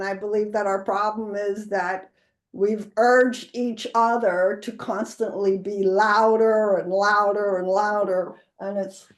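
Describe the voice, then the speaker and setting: A woman interviewing an author in a live chat, talking in a conversational, questioning way. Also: mid-range voice.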